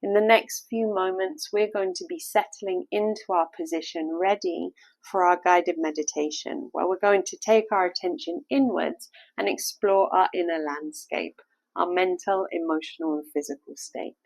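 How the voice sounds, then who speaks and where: A woman leading a guided meditation, giving gentle step-by-step instructions in a soothing, unhurried manner. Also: on the low side, gravelly, no room sound, low-quality recording.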